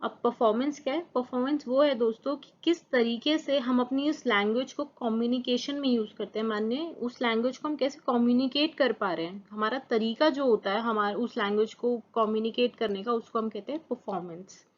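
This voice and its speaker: Woman, first language Hindi, lesson host who repeats each point, fairly high voice, lo-fi sound. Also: brisk, few pauses. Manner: explains with rhetorical questions and repeats points. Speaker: channel host and teacher. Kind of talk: Hindi lecture on exam topics, reading English definitions slowly. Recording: dull lo-fi recording.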